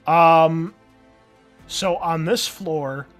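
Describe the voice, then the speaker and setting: A man narrating a tabletop roleplaying game as game master, improvising in a halting, conversational way. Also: on the high side. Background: background music.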